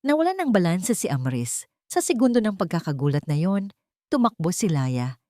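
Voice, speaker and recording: A woman's voice: lowish voice, big pitch swings, normal speed, mellow, no room sound. A woman narrating a scripted romance story in a dramatic storytelling style.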